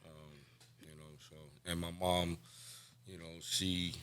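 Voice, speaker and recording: Low, bright-toned man from Cuba. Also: flat delivery, slow pace, clear, resonant tone. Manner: candid, soft-spoken, trails off quietly. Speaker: guest. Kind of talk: recovery podcast interview.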